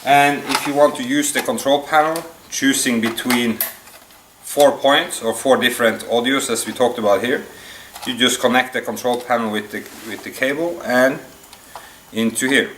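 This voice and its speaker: A man giving an unscripted product demonstration, explaining step by step in a halting, hesitant way. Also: mid-range voice, steady tone, a bit bright. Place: indoor room at a table. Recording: echoey room, distant mic, faint noise.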